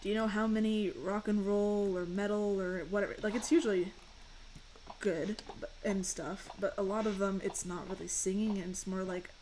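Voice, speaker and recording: Young female streamer, deep voice, rambly chat over gameplay, faint hiss behind. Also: fairly even intonation, normal speed, a little mellow, rough recording. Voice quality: fairly deep voice. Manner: chatty, rambling, answers chat questions. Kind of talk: casual chat while gaming.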